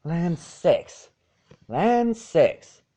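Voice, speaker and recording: A man's voice: a little high, big pitch swings, extremely slow, dark tone, voice pushed up, full character voice. A man voicing a hearty, plain-spoken female country pony in a storybook reading, with a folksy, exclaiming manner. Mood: stunned.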